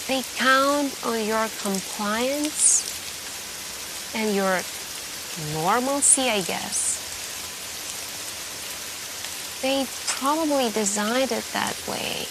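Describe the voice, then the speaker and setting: A woman playing a shaken, secretive hacker friend in a soft-spoken ASMR roleplay, protective and hesitant as she confides. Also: a little high, background noise. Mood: uneasy, warning. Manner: hesitant, halting, hushed and confiding.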